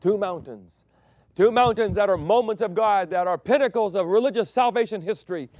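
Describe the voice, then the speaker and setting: Dynamic preacher man, loud then hushed. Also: high voice, a little fast, no echo. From Thailand. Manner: swings between loud emphatic declarations and soft hushed phrases. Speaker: pastor. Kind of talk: sermon broadcast.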